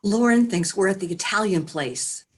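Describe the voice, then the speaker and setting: A woman's voice: medium pitch, lively intonation, normal speed, slightly rough. A woman reading the part of a worried lookout in a screenplay table read. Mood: anxious.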